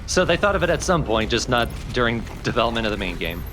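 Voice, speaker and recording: A man's voice: medium pitch, sing-song, fast talker, noisy background. A man co-commentating casually on a video game. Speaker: let's play co-commentator. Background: video game sound.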